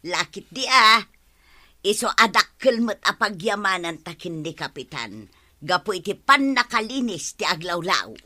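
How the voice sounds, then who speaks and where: A woman's voice: medium pitch, big pitch swings, a little slow, bright, forceful tone, exaggerated voice. A woman playing a practical, agreeable village wife in an Ilocano radio comedy drama, with an Ilocano Filipino accent. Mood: eager. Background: music.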